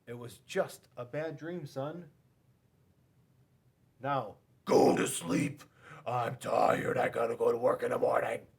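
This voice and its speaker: A man's voice: medium pitch, fairly even intonation, takes it slowly, creaky, pauses often, husky, heavily acted. A man voicing a tired father who dismisses his son's fears. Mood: weary. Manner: weary, dismissive.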